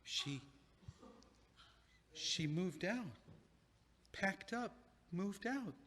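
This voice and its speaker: A man's voice: high voice, expressive, drawn-out words, a bit bright, a little hiss or hum, full character voice. An actor playing an anxious young man who rambles and stammers with many restarts in an audio drama. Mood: shaken. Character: anxious, rambling young man just left by his fiancée. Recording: long hall reverb.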